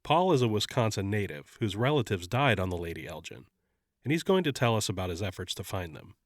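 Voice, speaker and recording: Nasal, congested man with a low voice. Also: big pitch swings, brisk, no echo, clean studio sound. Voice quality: slightly nasal. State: congested, recovering from covid. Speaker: podcast host. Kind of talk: scripted narration.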